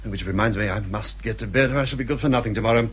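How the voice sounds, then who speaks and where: An English actor playing an easygoing, amiable young country squire and husband in a period radio drama, bluff and mild. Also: male voice, on the low side, very fast, husky. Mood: mildly amused, easygoing. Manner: bluff, mild, good-humoured.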